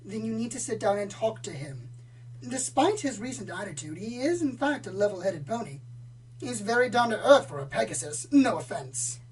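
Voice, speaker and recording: A woman's voice: medium pitch, very animated, moderate pace, darkish tone, natural voice. A woman playing a prim, easily exasperated theatrical pony in an audio drama, speaking in formal, haughty, dramatic phrases. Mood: exasperated, advising. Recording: distant mic, noisy, humming.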